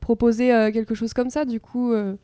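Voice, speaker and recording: A woman's voice: a little high, little pitch movement, normal speed, dark tone, smooth, no room sound. A young woman in her twenties, a band singer chatting upbeat in an interview, laughing often. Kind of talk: casual interview chat.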